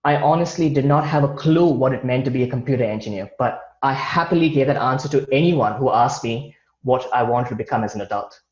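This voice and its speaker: Man giving a roomy online talk. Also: mid-range voice. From India. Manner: measured, read-from-notes delivery with occasional restarts. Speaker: guest speaker. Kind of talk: online presentation over slides. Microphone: video call. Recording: roomy, dull video-call audio.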